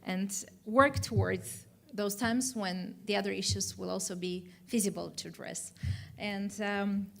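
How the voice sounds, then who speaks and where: A woman moderating a conference panel, handing the floor to speakers in a formal, measured way. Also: mid-range voice, fairly even intonation, creaky, a bit bright. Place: conference hall.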